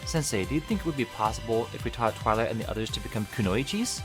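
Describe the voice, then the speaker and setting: A man's voice: medium pitch, expressive, fast talker, over music, lightly acted. A man voicing earnest, thoughtful teenage turtle ninjas in an encouraging manner. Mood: earnest, curious.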